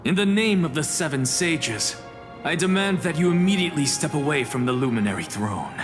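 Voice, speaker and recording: An actor playing an arrogant young scholar sage, speaking with scorn and cool disdain, in a video game with music behind. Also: male voice, fairly high pitch, expressive, close to an everyday voice. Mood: commanding. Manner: scornful, dismissive, measured.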